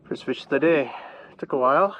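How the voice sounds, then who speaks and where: A man's voice: mid-range voice, a little fast, poor audio. Congested man fishing outdoors. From Canada. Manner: relaxed, chatty, talks to himself and the fish. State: congested from a cold. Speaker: fishing vlogger. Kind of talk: casual running commentary while fishing. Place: outdoors in a boat on a lake. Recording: windy outdoor recording with clear noise.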